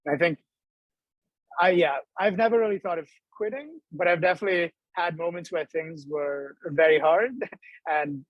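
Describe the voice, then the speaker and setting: A young man from Dubai, a startup co-founder, talking quickly and animatedly as the guest in a video-call interview. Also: fairly high pitch, no echo, dull audio. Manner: quick, animated, laughs between thoughts. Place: indoor room.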